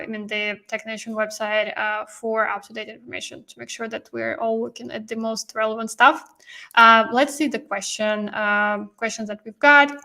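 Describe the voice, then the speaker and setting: A woman's voice: fairly high pitch, bright, forceful tone. A woman hosting a live-stream interview, explaining things in a chatty way with many fillers, and losing her voice. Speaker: host and visa coach.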